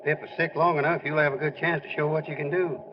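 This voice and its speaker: An actor playing a friendly sportswriter pal of a ballplayer in a 1940s radio drama, on an old recording. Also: male voice, a little high, little pitch movement, quick pace, lo-fi sound, background noise, lightly acted. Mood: wry, friendly.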